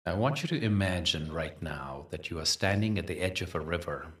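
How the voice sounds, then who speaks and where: A man leading a guided meditation as the teacher, soothing and unhurried, with measured phrasing and long pauses. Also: low-pitched, animated, far from the mic.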